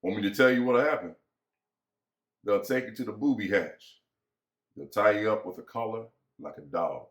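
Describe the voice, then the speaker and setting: A man's voice: on the low side, moderate pace, long pauses, close to an everyday voice. A man acting as bitter, lonely American ranch hand, echoey. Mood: taunting. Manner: folksy rural vernacular, musing and pressing. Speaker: actor. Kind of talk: dramatic reading of a novel. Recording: echoey room.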